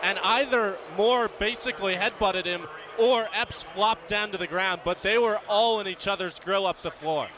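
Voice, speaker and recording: High-voiced male basketball color commentator in arena. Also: moderate pace. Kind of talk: live game analysis commentary. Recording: clear arena noise behind the voice.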